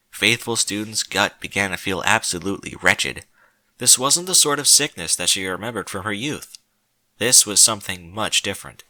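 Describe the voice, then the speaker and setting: A man narrating a story in a slow, solemn, dramatic way with deliberate pauses. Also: fairly low pitch, bright, forceful tone, no echo.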